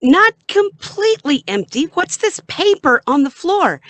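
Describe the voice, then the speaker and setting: A woman reading a frightened young companion in a fan radio play: high, lively voice, unhurried and pleading, dry close sound. She sounds anxious and worried. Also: no echo, heavily acted. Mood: puzzled.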